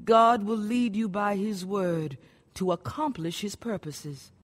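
Solemn lady bible teacher, radio drama, instructive, mid pitch, echoey long reverb. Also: slow pace. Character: devout, authoritative woman Bible study teacher.

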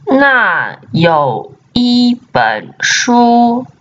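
A woman teacher drills vocabulary at an extremely slow pace, repeating each item deliberately for learners. Her voice sits fairly low but moves through a lively, sing-song range of pitch. The recording is dull and lo-fi. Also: a little hiss or hum. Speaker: language teacher. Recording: dull lo-fi sound.

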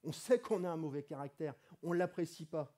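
A middle-aged man preaches in a church hall, his voice a little hoarse from a cold. His voice sits fairly high yet sounds soft and mellow. He talks in a relaxed, conversational way and lifts his voice when he reads scripture. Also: a little fast. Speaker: pastor. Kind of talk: sermon. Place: church hall with wooden wall, indoors.

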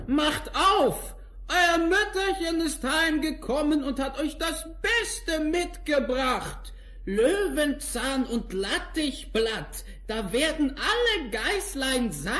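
A sly, greedy wolf from a fairy-tale record. The voice is gruff and forceful, swooping widely in pitch. It drags out its words with theatrical menace. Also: male voice, full character voice. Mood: falsely sweet, coaxing. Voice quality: rough, gruff, growling. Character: sly, greedy, hungry big bad wolf. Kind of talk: German fairy-tale audio play acting.

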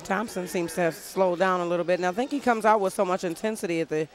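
High male voice calling a boxing bout. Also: fairly even intonation, quick pace. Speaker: boxing commentator. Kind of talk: live sports commentary. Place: ringside at an indoor boxing arena. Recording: loud arena crowd behind voice.

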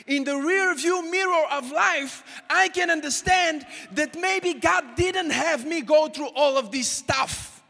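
A young man preaching a sermon to a congregation with a handheld mic, swinging from shouted calls to hushed asides. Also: very high-pitched, slow pace, gravelly, few pauses. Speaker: pastor. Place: large church auditorium with congregation. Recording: long hall reverb from the sanctuary.

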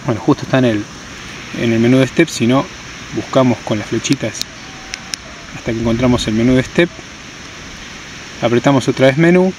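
A man's voice: medium pitch, normal speed, pauses often, a little mellow, slight background noise, poor audio. A man giving a hands-on tutorial outdoors, explaining step by step and repeating key terms. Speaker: tutorial presenter. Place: outdoors on stony ground.

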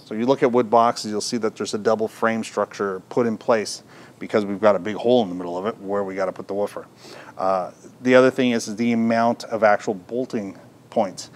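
A man's voice: fairly low pitch, steady tone, brisk. A middle-aged man presenting a product in an informal, unscripted, explanatory way. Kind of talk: unscripted product demonstration talk. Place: indoor audio gear shop, at a counter.

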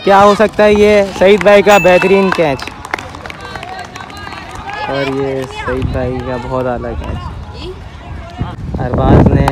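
A man commentating on a street cricket match outdoors, describing each ball and cheering the players on. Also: medium pitch. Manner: excited praise of players. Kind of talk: slow, relaxed cricket commentary. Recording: loud outdoor noise on a phone.

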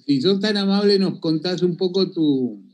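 A man's voice: high voice, lively intonation, normal speed, darkish tone. A man hosting a video call interview, jovial and teasing, asking questions using vos. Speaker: interview host. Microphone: phone video call.

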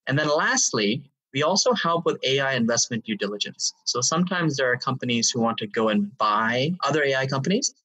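A man in his thirties from Hong Kong, a guest explaining his consulting work in a measured way with some fillers. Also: medium pitch, sharp tone, breathy edge, recorded from a distance, rough recording. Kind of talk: interview answers.

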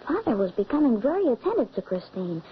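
An actress playing a narrator recalling an eerie childhood tale in a 1940s radio drama. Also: female voice, fairly high pitch, sing-song, fast, husky, heavily acted. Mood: uneasy, recalling. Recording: noisy muffled 1940s radio recording.

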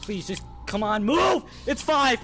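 Jumpy, excitable man playing a horror game. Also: very high voice, a little slow. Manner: excitable, yelps and shouts when scared. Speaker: gaming host. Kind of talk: VR horror let's play. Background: game music.

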